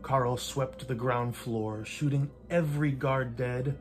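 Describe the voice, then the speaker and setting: An older man reads a rhymed picture book aloud in a living room, with measured, theatrical delivery and playful emphasis. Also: medium pitch, steady tone, faint music behind. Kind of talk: rhymed storybook read-aloud. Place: indoors in a home living room. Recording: light room noise.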